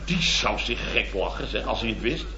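Blustery male contractor, played by an actor, middle-aged, highish swooping delivery, rambling boaster in vintage radio comedy with dull sound. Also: lively intonation, moderate pace, crowd noise, natural voice. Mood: exasperated grumbling. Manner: rambling, exclamatory, constant tag words. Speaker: comic lead actor. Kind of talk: 1970s radio comedy acting. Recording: dull old radio recording, roomy, audience laughter.

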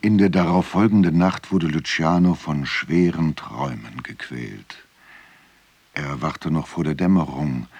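An actor playing a grave storyteller, narrating a dark folk legend with measured delivery in a radio drama. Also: male voice, very deep voice, gravelly, slightly rough, no echo, exaggerated voice.

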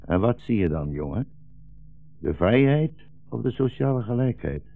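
An actor playing a weary, bitter old blind rabbi fleeing war, solemn and brooding, in a 1960s Dutch radio drama. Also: male voice, medium pitch, very animated, moderate pace, creaky, dry recording, faint music behind. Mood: grave. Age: older. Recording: dull, muffled 1960s radio recording.

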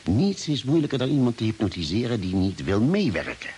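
A thoughtful male expedition doctor in an old radio play. He reasons things out deliberately, pausing mid-sentence, with a lively rise and fall in pitch. The recording carries a light hiss. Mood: grave, reasoning. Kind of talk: 1950s-style radio drama acting.

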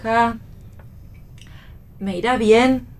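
A woman's voice: a little high, fairly even intonation, drawn-out words. An Argentine actress playing a playful, teasing, defiant young wife and mother in a radio play reading. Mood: tired, playful.

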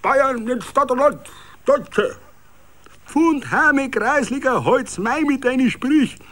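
A man voicing hoarse, jeering drunken Bavarian village revelers in a radio story, bawling and slurring. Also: very high-pitched, animated, slow, voice pushed up, exaggerated voice. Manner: bawling, slurred festive shouting.

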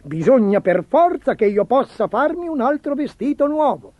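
A man's voice: very high voice, big pitch swings, moderate pace, dry recording, muffled recording, pitched above the performer's own voice, heavily acted. A man voicing a vain, imperious, spoiled storybook emperor in a petulant, commanding, theatrical manner. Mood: haughty. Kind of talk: storybook character voice.

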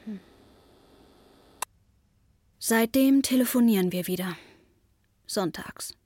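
A woman's voice: a little high, takes it slowly, darkish tone, no echo. An actress playing a restless, introspective woman in her twenties, narrating a radio drama as an intimate inner monologue. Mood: reflective, wry. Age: 20s.

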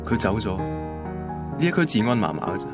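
An actor playing an earnest, devoted young boyfriend in a Hong Kong Cantonese radio drama, speaking colloquially and sounding quietly vulnerable. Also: male voice, on the low side, sing-song, moderate pace. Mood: wistful, hurt. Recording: music bed under the voice.

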